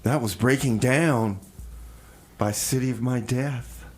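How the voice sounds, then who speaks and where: A man hosting a casual live radio interview, speaking haltingly and repeating words as he goes. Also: medium pitch, darkish tone, slight background noise. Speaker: radio show host. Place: radio studio.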